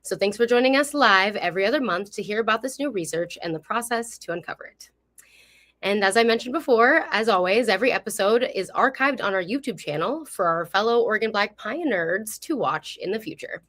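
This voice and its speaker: A woman's voice: medium pitch, lively intonation, a little fast, fairly bright tone. A woman presenting as the host of a history program, reading a scripted introduction in a welcoming, upbeat manner on a live online stream.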